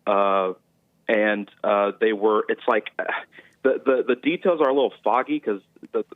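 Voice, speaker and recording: A man's voice: fairly low pitch, steady tone, normal speed, no room sound. A young man calling in to a talk show by phone, speaking hesitantly with lots of fillers. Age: young adult. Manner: hesitant, many fillers and restarts. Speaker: caller. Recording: tinny phone line.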